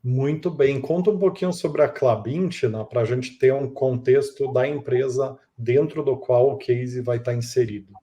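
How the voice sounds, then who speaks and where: A man's voice: medium pitch, moderate pace, hardly any pauses, mellow. A man with a Brazilian accent hosting a podcast interview in a polite, enthusiastic and wordy way.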